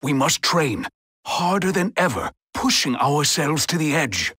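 An actor playing a stern old martial arts master in a cartoon video game, grave and portentous, with a raspy voice. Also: male voice, a little high, big pitch swings, measured pace, bright, forceful tone, no echo, clean studio sound, heavily acted. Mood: stern, solemn.

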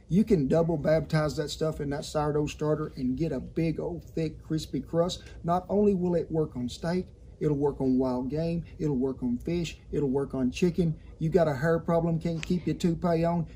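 A man's voice: on the high side, fairly even intonation, moderate pace, few pauses, dark tone, a little hiss or hum. A man in his sixties with a Southern American accent hosts a cooking tutorial, talking in a folksy, colorful cowboy storyteller's way. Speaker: cooking show host. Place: outdoor patio kitchen in a yard. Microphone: lavalier clipped to shirt.

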